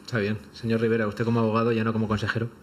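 Fast-talking male moderator with brisk questions. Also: fairly low pitch, monotone. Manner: brisk questioning, short acknowledgements. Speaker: host, moderator. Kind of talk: live talk show moderation.

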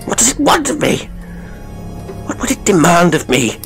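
A man voicing a tormented, haunted gentleman in an audiobook, with a strained, feverish, exclamatory delivery. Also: normal speed, gravelly, faint music behind, full character voice. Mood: anguished dread. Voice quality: high, keen, strained when excited. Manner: feverish, exclamatory, repeating himself. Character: frantic, tormented gentleman, haunted and near breakdown.

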